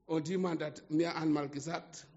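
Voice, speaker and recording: A man preaching a sermon to a small congregation in German with a non-native accent, slow and emphatic, swinging between hushed and loud. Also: a little high, steady tone. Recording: dull lo-fi sound with long hall reverb.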